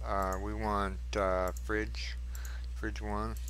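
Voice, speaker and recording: Bright-voiced man narrating his gameplay. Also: lowish voice, little pitch movement, very slow, smooth. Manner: chatty, mutters to himself while fighting. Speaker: gaming channel host. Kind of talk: casual gameplay commentary.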